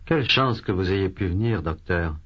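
A man reading a sick patient with a husky voice. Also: low-pitched, animated. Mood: plaintive. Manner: slow, careful, repeated phrases. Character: adult male patient with a cold. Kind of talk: scripted language drill dialogue. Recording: dull lo-fi course tape.

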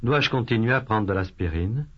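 A man voicing a patient who has a cold in a language course dialogue, speaking slowly and carefully, with repeated phrases. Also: lowish voice, expressive, slightly rough. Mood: plaintive. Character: adult male patient with a cold. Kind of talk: scripted language drill dialogue. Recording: dull lo-fi course tape.

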